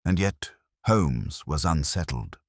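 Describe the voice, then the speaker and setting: A man narrating a scripted detective story in a measured, dramatic way. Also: rumbling low, expressive, dry recording, studio quality. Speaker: audiobook narrator.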